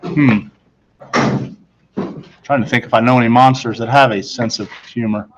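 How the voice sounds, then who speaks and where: A man's voice: medium pitch, steady tone, normal speed, lo-fi sound. A man reading aloud to children as a storyteller, in the manner of a teacher narrating a book. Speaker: audiobook reader, teacher.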